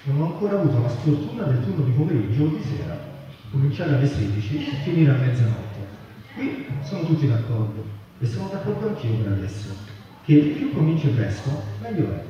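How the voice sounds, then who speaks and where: A man's voice: fairly high pitch, sing-song, fairly quick, dark tone. A man giving a literary reading aloud at a public event. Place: large echoey venue at a public festival. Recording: dull, distant, very echoey, background noise.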